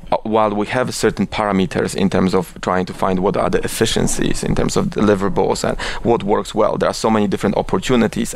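Polish guy, super low gravelly voice, flat tone, bit of hiss behind, talks with his hands. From Poland. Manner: passionate, gesticulating, many restarts. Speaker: guest. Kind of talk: conversational interview.

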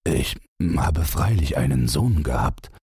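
A man's voice: very deep voice, lively intonation, measured pace, warm tone, breathy edge, dry recording, clean studio sound, full character voice. A man voicing an old peasant farmer who is weary and stern.

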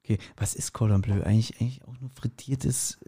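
A man's voice: lowish voice, steady tone, quick pace, dark tone, husky. A man chatting casually and teasingly as a podcast co-host.

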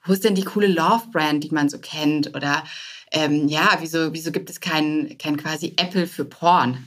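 A woman in her thirties telling her story casually as a podcast guest, informally mixing in English business jargon. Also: low-pitched. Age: 30s. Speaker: podcast guest, startup founder.

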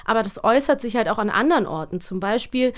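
A woman's voice: on the high side, animated, fast talker. A woman co-hosting a podcast, talking in a casual, opinionated way, with mocking asides. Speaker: podcast co-host. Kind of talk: casual conversation.

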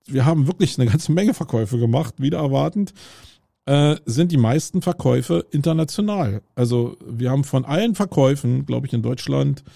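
A man's voice: mid-range voice, vocal fry, warm tone, no room sound, studio quality. A middle-aged man from Germany hosting his own podcast, talking it through aloud outdoors in a city, with many fillers and restarts. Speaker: podcast host. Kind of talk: casual solo podcast monologue. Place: outdoors on a city street with roadwork noise.

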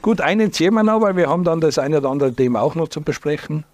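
A man's voice: on the high side, lively intonation, quick pace, darkish tone. A man with an Austrian accent hosting a talk show, chatty and joking as he leads with questions. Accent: Austrian German.

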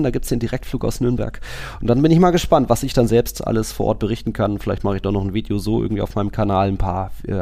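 Low-pitched man chatting about football. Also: dark tone. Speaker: football podcast host. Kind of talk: casual football chat.

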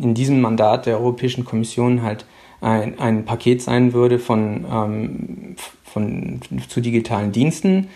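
A middle-aged man from Hamburg, a guest speaking over a phone line, answering in a halting way with many fillers. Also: mid-range voice, dark tone. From Hamburg, Germany. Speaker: guest, policy official. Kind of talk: interview answers.

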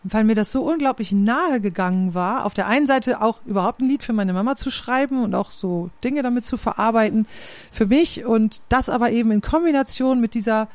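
A woman's voice: on the high side, brisk, darkish tone, no room sound. A woman, hosting a casual conversation and thinking aloud, often searching for words with many fillers. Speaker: podcast host and singer.

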